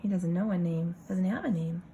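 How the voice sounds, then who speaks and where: A woman reading a picture book aloud like a kindergarten teacher, expressive, pausing for casual asides to the children. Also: lowish voice, muffled recording, a little hiss or hum.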